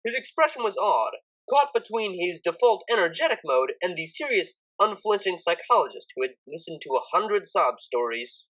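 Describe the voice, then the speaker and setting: Woman narrating a story with lively intonation. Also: fairly low pitch, normal speed, low-quality recording. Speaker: audiobook narrator. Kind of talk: expressive scripted story narration.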